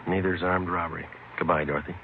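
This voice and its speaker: Deep, clipped deadpan radio detective. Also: male voice, very fast, vocal fry, heavy background noise. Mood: blunt, stern. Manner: deadpan, clipped short sentences. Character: blunt, terse, no-nonsense male police detective narrating cases. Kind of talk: 1940s-50s radio crime drama, clipped narration. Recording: narrow-band old radio recording, music behind.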